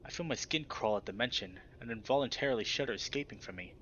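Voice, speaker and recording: A man's voice: mid-range voice, animated, quick pace, pushed and bright, highs cut off, slight background noise. A man reading a visual novel aloud expressively and breaking off for casual commentary. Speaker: gaming channel host.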